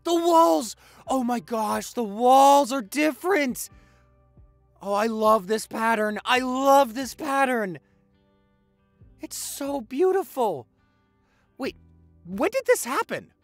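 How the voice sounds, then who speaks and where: A man acts out a young male vampire boyfriend for an intimate audio roleplay. He is affectionate and easily excited, with a widely swinging pitch, stammers and repeated words. The sound is dry, with faint music behind him. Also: slow, long pauses, no echo, full character voice. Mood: amazed, overjoyed. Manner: gushing, stammering, repeats himself.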